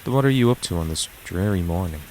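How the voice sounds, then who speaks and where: A man's voice: low voice, expressive, quick pace, darkish tone, a little hiss or hum. A man playing a weary, aloof math teacher, narrating and talking in a dry, resigned way for a visual novel fandub. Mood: mildly annoyed. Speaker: narrator and lead character. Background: music and rain sounds.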